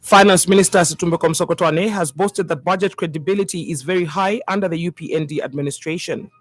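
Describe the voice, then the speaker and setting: A woman's voice: fairly low pitch, fast, dry recording, studio quality, soft background music. A woman with a Zambian accent presenting a scripted radio news bulletin.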